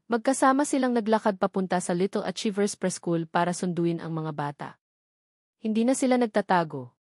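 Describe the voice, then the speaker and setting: A woman's voice: mid-range voice, a little mellow, no echo, clean studio sound. A woman with a Filipino accent reads scripted narration for a romantic audio drama as a storyteller.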